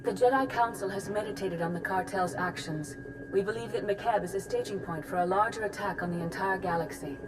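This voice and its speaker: A woman's voice: mid-range voice, brisk, background noise, close to an everyday voice. A woman playing an authoritative warrior-monk grandmaster in a video game, grave and formal. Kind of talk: scripted video game acting.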